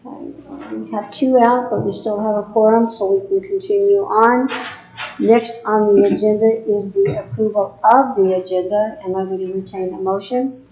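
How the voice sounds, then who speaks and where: Brief: female mayor running a board meeting, mellow and flat, echoey room, dull lo-fi recording. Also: medium pitch, normal speed. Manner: steady, procedural, reads agenda items. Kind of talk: formal meeting procedure.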